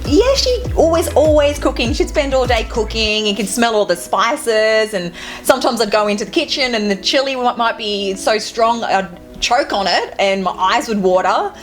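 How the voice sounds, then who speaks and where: Slightly high-voiced woman teacher. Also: normal speed, hardly any pauses. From Australia. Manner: relaxed, conversational, patiently coaching pronunciation. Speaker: teacher and anti-racism contact officer. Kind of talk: interview answers. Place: school. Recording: music playing underneath.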